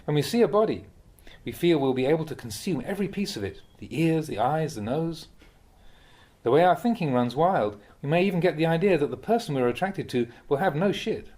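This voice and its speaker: A man reading a translated Buddhist dharma talk aloud like an audiobook, in a measured, expressive way. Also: fairly high pitch.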